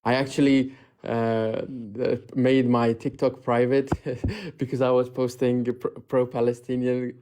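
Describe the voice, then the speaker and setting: A man's voice: mid-range voice, flat delivery, unhurried, hardly any pauses, dark tone, slight background noise. A man in his twenties from Afghanistan, a livestream guest on audio only, chatting casually with many fillers and restarts. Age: 20s. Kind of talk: casual chat. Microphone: audio only, camera off.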